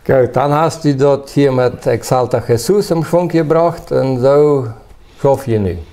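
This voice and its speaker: A man's voice: medium pitch, unhurried, mellow. A man hosting a conversational interview who asks short, friendly questions. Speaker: interviewer host.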